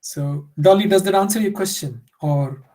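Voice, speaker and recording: A man's voice: high voice, normal speed, warm tone. A man, a Telugu speaker, giving a workshop lecture in a conversational way, full of "you know" fillers. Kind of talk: online lecture.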